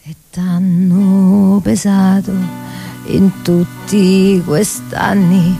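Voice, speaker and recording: A woman's voice: mid-range voice, level tone, extremely slow, creaky, dark tone. A woman singing folk songs in a Roman accent, accompanied by guitar. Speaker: singer. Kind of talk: Roman folk song performance with acoustic guitar. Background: acoustic guitar accompaniment.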